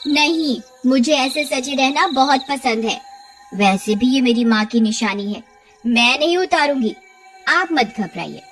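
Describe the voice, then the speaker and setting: A woman voicing a stubborn, vain village wife in a cartoon story, self-assured and quick to scold. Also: sits high, expressive, fairly bright tone, faint music behind. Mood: dismissive, stubborn. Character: stubborn, vain village wife, dismissive, wailing when hurt.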